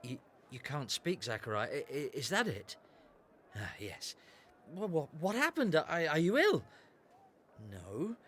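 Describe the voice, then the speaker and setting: An actor playing a concerned, fussing bystander in a radio drama, husky and halting in speech. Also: male voice, a little high, fairly bright tone, exaggerated voice. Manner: halting, stammering.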